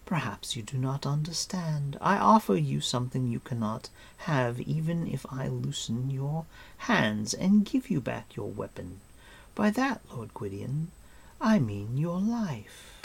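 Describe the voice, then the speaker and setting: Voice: mid-range voice, very animated, a little slow, creaky, slightly rough, pitched above the performer's own voice, exaggerated voice. A narrator voicing a cruel, imperious enchantress queen for an audiobook, silky and menacing in manner. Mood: coaxing menace. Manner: gentle coaxing turning to menacing hiss. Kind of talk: audiobook character voice.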